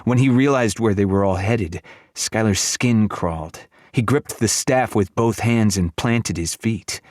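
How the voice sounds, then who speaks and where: A man's voice: lowish voice, a little slow, a little mellow, dry recording, studio quality. A man reading scripted fantasy fiction as an audiobook narrator.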